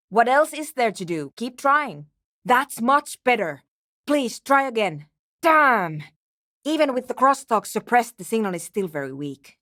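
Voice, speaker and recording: A woman's voice: fairly high pitch, fairly bright tone, no echo, studio quality. A woman playing an earnest, exacting programmer and radio technician in a video game, speaking in precise, formal, clipped technical phrases. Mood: disappointed, self-critical. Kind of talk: video game character dialogue.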